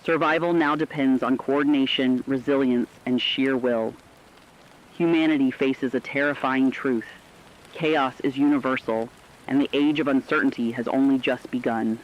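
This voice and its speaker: A man's voice: medium pitch, fairly even intonation, normal speed, natural voice. A man playing a radio war correspondent, delivering a scripted dramatic news report in a grave, formal, emphatic way. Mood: grave, resolute. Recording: dull lo-fi radio sound, faint rain.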